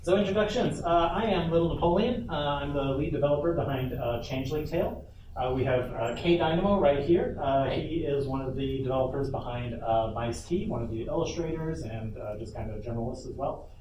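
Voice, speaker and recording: A man's voice: mid-range voice, brisk. A man giving an informal live workshop talk as a panel presenter, speaking off the cuff with asides. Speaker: panel presenter, lead game developer. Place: convention panel room, indoors. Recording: echoey room, distant mic, loud background noise.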